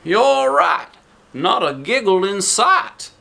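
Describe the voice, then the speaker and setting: Man playing a matter-of-fact storybook doctor, pitched up. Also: very animated, slow, creaky, sharp tone, heavily acted. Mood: apologetic. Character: matter-of-fact male doctor, gently apologetic.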